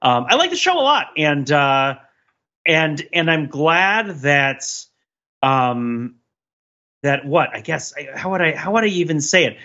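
A man's voice: a little high, very animated, a little slow, bright, forceful tone, dull audio. A man speaking as a podcast co-host in a casual pop-culture discussion, rambling and jokey, often repeating his phrases.

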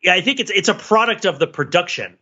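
A man's voice: high voice, lively intonation, fast, bright, forceful tone, husky, lo-fi sound. A man co-hosting a podcast, casually analysing film in a discursive way, peppering his points with filler phrases like "you know". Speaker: podcast co-host.

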